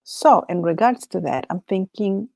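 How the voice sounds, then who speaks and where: A woman's voice: medium pitch, moderate pace, creaky, darkish tone, dry recording, studio quality. A woman from Chile with a Chilean Spanish accent, Spanish her first language, hosting a casual solo livestream chat. She muses aloud, often laughs, and trails off and restarts her thoughts. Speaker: livestream host.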